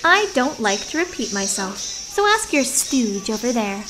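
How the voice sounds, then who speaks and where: A woman's voice: fairly high pitch, big pitch swings, unhurried, noisy background. A woman playing a brash, mocking young punk customer with a demanding manner in an audio drama. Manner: demanding, sneering.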